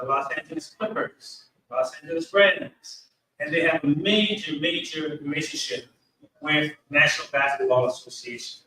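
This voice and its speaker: A man giving a deliberate, emphatic explanatory political talk as a panel speaker. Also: fairly high pitch, pushed and bright. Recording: echoey room, distant from mic.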